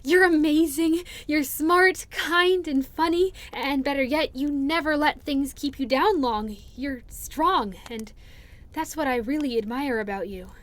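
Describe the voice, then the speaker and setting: A woman acts out a shy, affectionate young woman in an audio roleplay. Her voice sits high. She talks in an anxious, earnest ramble, hesitating as she goes. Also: measured pace, fairly bright tone. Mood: earnest, tender. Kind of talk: interactive audio roleplay acting.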